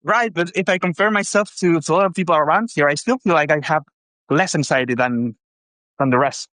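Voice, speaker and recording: A Chilean man in his thirties speaks as a caller with a Spanish accent. His voice is on the higher side for a man, and he explains things conversationally in a halting, hesitant way. The sound is dry. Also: fairly bright tone, no room sound. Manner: hesitant, halting second-language English.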